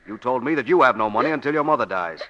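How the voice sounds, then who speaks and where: An actor playing a cold, blunt, mercenary young fiancé in a 1940s radio drama. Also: male voice, medium pitch, expressive, rapid-fire, pushed and bright. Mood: cold, impatient. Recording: dull, band-limited 1940s radio recording.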